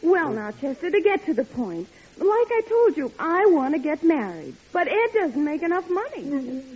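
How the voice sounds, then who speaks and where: An actress playing a gushing, excitable young woman eager to marry, theatrical and pleading, in a 1940s radio comedy. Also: sits high, normal speed. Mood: coaxing. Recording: muffled 1940s radio recording.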